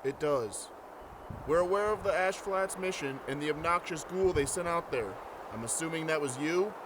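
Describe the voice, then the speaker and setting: Man as a highish, disdainful militant soldier. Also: normal speed, a crowd in the background, natural voice. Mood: suspicious. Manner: formal, superior. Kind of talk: post-apocalyptic audio drama. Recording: clearly noisy outdoor-like background.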